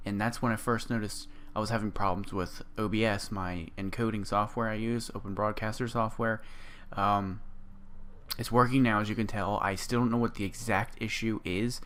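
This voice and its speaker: Gaming creator, male, voice sitting a bit low, hoarse from a sore throat, loose and rambling delivery. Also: steady tone, moderate pace, a bit bright, a little hiss or hum. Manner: rambling, full of ums and restarts. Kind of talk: casual gameplay build walkthrough.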